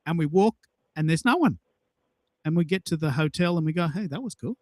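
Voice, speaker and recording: Emphatic man chatting, slightly high voice, dry sound. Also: animated, a little fast, a little mellow, no room sound. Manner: emphatic, repeats himself for emphasis. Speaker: host of a social audio show. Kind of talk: casual chat, personal anecdotes.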